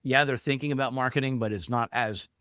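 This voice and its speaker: A man's voice: mid-range voice, steady tone, fast, fairly bright tone, dry recording, studio quality. A man hosting a podcast, talking casually, restarting his words, and asking guests follow-up questions. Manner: conversational, repeats words, asks follow-up questions. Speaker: podcast host.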